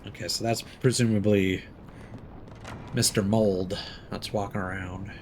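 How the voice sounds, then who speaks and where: A man's voice: fairly low pitch, fairly even intonation, unhurried, background noise. A man giving casual live commentary as he plays a game, with faint game sound behind him. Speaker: game streamer.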